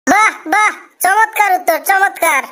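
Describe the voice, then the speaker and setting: Cartoon interviewer: extremely high voice, Bangladeshi accent, tired, grumbling, rustic, easily impressed. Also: female voice, unhurried, bright, forceful tone, exaggerated voice. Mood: pleased, approving. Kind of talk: comic cartoon dialogue.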